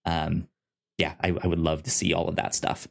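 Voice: sits low, little pitch movement, vocal fry. A podcast co-host chatting casually about a show, often repeating and stuttering on the first sounds of words. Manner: halting, repeats and stutters on word starts. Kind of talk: casual podcast review chat.

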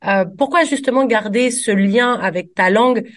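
A woman's voice: on the high side, moderate pace. A woman radio host asking friendly, conversational interview questions.

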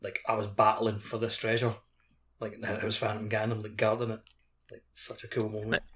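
A man's voice: fairly low pitch, monotone, fairly quick, a bit bright, slight background noise. A man co-hosting a podcast, talking casually about games in loose, filler-heavy phrasing. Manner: filler-heavy, frequent "like" and "kinda". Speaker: podcast co-host. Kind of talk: casual video game discussion.

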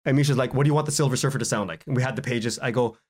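A man speaking as a guest in a casual podcast interview, telling anecdotes in an animated way and acting out bits of dialogue. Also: medium pitch, racing. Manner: animated storytelling, acts out quoted exchanges, chuckles often. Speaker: guest, audio drama writer and director.

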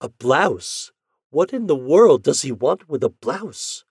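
A man voicing an anxious, jealous young wife with a high, breathless, emotional delivery. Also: very animated, slow pace, a little mellow, husky, no room sound, studio quality, heavily acted. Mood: agitated.

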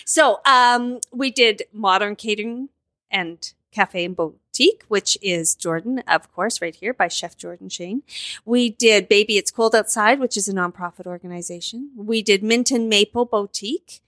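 A woman's voice: medium pitch, moderate pace, fairly bright tone, dry recording. A talkative, enthusiastic woman with a mid-range voice describes her show as a guest in a podcast interview at a film festival. The recording is dry and close. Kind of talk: casual interview.

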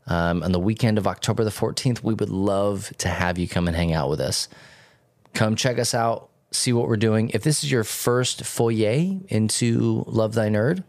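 Low-voiced rambling male podcast host, dry sound. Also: a little mellow, no room sound. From Texas. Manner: rambling, self-correcting. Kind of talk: casual chat.

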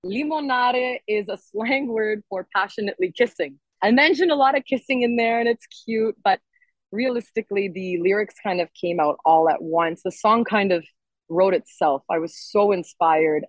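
A woman's voice: medium pitch, no echo, poor audio. A woman singer-songwriter chatting casually and enthusiastically as an interview guest. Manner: enthusiastic, expressive.

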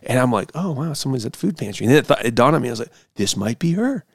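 A man, a pastor and show host, chatting casually and telling stories in an animated way, acting out quotes. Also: mid-range voice, rapid-fire, no room sound, studio quality. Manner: animated, acts out quotes mockingly. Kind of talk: casual chat and storytelling.

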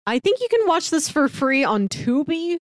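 Higher-voiced woman chatting on a podcast. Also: very animated, normal speed, no echo, clean studio sound. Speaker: podcast co-host. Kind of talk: casual chat.

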